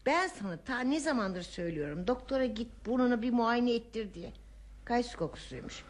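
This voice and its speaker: An actress playing a nagging, fretful older wife in a radio play, exclaiming melodramatically and full of suspicion. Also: female voice, on the high side, animated, brisk. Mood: scolding. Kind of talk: radio theater acting.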